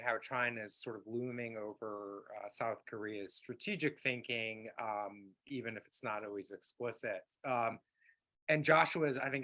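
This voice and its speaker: A man's voice: mid-range voice, a bit bright. Man moderating a webinar panel. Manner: loose, meandering phrasing with fillers. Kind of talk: moderating, introducing next speaker.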